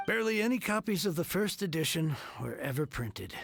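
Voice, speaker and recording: An actor playing a bitter, despairing bookish merchant in a video game, theatrical and world-weary. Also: male voice, a little high, big pitch swings, brisk, fairly bright tone. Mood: reverent. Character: bitter, despairing male bookworm merchant fallen on hard times. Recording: faint music under the voice.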